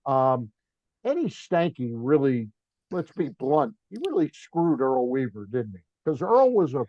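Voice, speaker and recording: A man's voice: mid-range voice, very animated, unhurried, darkish tone, dry recording, highs cut off. A man hosting a sports talk show, chatting bluntly with a guest and reading sponsor plugs. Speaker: sports radio host. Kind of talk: casual interview chat.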